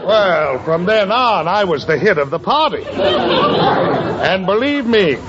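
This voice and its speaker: An actor playing a pompous, blustery middle-aged boss in a 1940s radio comedy, formal and emphatic. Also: male voice, high-pitched, huge pitch swings, slow pace, over a noisy crowd, heavily acted. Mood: exuberant. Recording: dull, hissy 1940s radio recording, studio audience.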